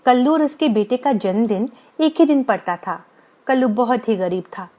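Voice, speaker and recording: A woman's voice: fairly high pitch, expressive, normal speed, a little mellow. A woman narrating a scripted bedtime moral story.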